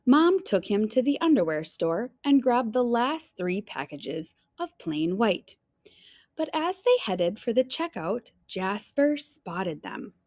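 A woman reads a children's storybook aloud at an unhurried pace. Her delivery is lively and dramatic, lingering on important phrases, and the recording is close and dry. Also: medium pitch, dry recording. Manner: dramatic, drawn-out emphasis on key phrases. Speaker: teacher reading a picture book aloud.

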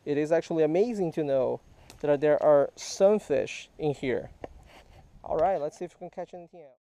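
A man's voice: a little high, animated, normal speed, warm tone, dry recording. Man coaching a kid while fishing. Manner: patient, coaching, quizzing. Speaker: fishing vlogger. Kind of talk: casual teaching chat while fishing. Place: outdoors by a small creek in a park. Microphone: head-mounted action camera.

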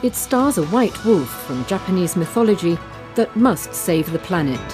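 A woman reading a scripted news feature narration in a measured, even way. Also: fairly low pitch, over music.

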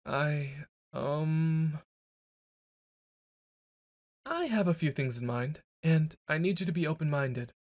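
A man's voice: a little high, expressive, slow pace, pauses often, darkish tone, no echo, clean studio sound. A young man performing a boyfriend ASMR roleplay, brash and teasing, stumbling into flustered stammers.

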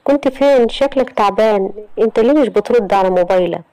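A woman's voice: fast talker, mellow, no room sound, close to an everyday voice, low-quality recording. A woman doing an anxious, insistent young Egyptian woman. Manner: high, anxious, insistent questioning.

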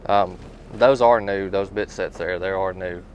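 Guy in his 30s, kinda low voice, folksy rambly tool dealer chat, bit of noise behind. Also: a little slow. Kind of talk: casual product talk and storytelling. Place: inside a tool truck. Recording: steady background noise.

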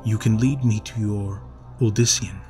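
A man voicing a kindly yet sinister high priest in a storybook reading, speaking softly and in a measured, coaxing way with a low, smooth voice. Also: steady tone, soft background music, lightly acted. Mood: persuasive. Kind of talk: storybook narration.

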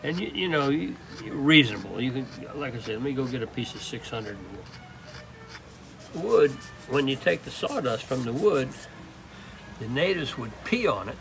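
An older man demonstrating woodworking in an open workshop, talking in a halting, rambling way full of restarts. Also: medium pitch, very animated, creaky, over music, rough recording. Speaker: woodturner demonstrating. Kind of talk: casual hands-on demonstration.

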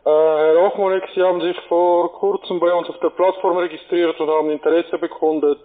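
A man's voice: fairly high pitch, level tone, fairly quick. Formal male telesales agent on phone line. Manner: formal, courteous, explanatory. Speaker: call centre sales agent. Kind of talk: sales pitch over the phone. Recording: tinny narrow phone-line sound.

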